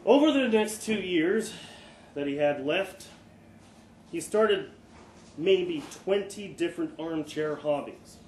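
Male live storyteller, slightly high voice, expressive delivery, roomy venue acoustic with faint noise. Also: takes it slowly, pauses often. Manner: expressive, acts out dialogue. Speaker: reader of a short story. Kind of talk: dramatic live short story reading. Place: live literary event in an indoor venue. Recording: echoey room, live event, background noise.

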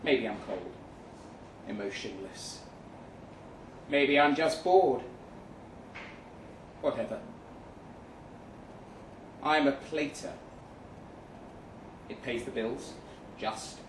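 A man reading a first-person short story aloud dramatically, with measured delivery and deliberate pauses. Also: mid-range voice, lively intonation. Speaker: short story reader at a live event. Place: event room in a pub. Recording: roomy, distant mic with faint noise.

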